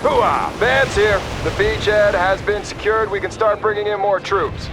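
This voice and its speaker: An actor playing a gung-ho, combative army captain in a military video game, talking in brash soldier fashion. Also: male voice, high voice, a little slow, heavy background noise. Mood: brisk, determined. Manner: brash, forceful soldier talk. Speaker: army field officer.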